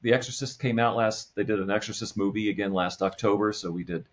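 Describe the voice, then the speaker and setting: Halting man chatting, slightly muffled. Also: fairly low pitch, steady tone. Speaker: podcast guest, comedy sketch producer. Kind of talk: casual chat.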